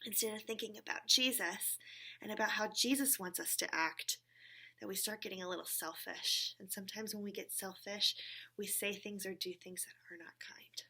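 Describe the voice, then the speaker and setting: A woman's voice: mid-range voice, normal speed, pushed and bright, low-quality recording. A woman hosting a church story time for children, speaking encouragingly and simply, with frequent check-in questions, as if chatting with a puppet friend. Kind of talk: talking to a puppet companion for young children.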